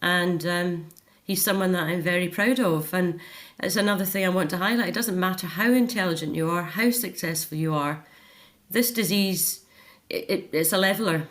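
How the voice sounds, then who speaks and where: A middle-aged woman speaks in a fairly low register. She is a guest in a personal interview, talking reflectively and articulately in a natural conversational style. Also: normal speed, a bit bright.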